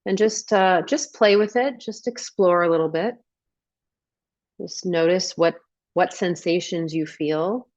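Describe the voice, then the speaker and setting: A woman leading a guided meditation as a coach, giving gentle instructions and unhurried invitations with pauses. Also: on the low side, no room sound, lo-fi sound.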